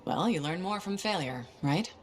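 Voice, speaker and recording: A woman's voice: fairly low pitch, animated, normal speed, close to an everyday voice. An actress playing a confident, easygoing female freelancer hero in a video game, with a casual, dry manner. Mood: reflective. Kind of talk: video game cutscene acting.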